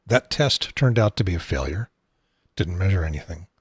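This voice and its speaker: Host, male, 70, American. Husky, somewhat low voice, dry close sound, halting unscripted delivery. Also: expressive, no echo. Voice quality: husky, unsteady voice. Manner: rambling, halting, wry. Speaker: talk show host. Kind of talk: casual unscripted solo talk show.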